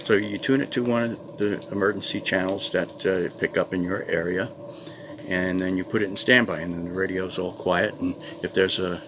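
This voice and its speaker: Low-voiced older man chatting outdoors. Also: steady tone, normal speed. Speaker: vlogger. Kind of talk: casual product review to camera. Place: outdoors in a wooded clearing. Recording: noisy outdoor recording with insect ambience.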